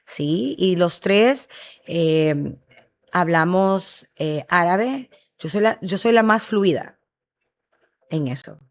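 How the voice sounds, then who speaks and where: Middle-aged woman, warm storytelling, interview guest. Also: fairly low pitch, slow pace. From Honduras. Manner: animated, enthusiastic storytelling. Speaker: guest, restaurant founder. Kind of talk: interview conversation.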